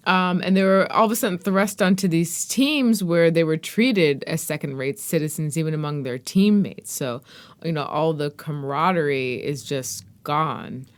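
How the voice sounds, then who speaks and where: A woman with a very low voice for her sex hosts an interview podcast. She chats casually with her guest and responds with encouraging murmurs as she listens. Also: moderate pace. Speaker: podcast host.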